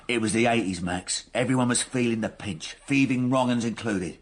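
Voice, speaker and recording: An actor playing a proud, middle-aged small-time robber under questioning in a radio crime drama, evasive and sardonic. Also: male voice, mid-range voice, normal speed, lightly acted. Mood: wry, subdued.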